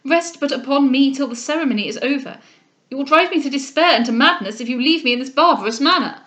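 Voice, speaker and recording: A woman voicing a frantic, pleading young gentleman in love, with vehement and urgent exclamations in a dramatic audiobook reading. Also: sits high, quick pace. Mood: desperate, possessive.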